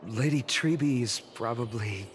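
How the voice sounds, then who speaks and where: An actor playing a level-headed, mild young adventurer in a video game, speaking plainly and a little wryly. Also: male voice, medium pitch, unhurried, faint music behind, lightly acted. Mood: wry, questioning. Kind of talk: scripted video game voice acting.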